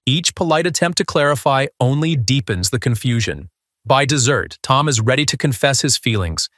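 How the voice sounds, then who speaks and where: A man's voice: medium pitch, lively intonation, moderate pace, fairly bright tone. A man hosting a video countdown, reading a scripted narration.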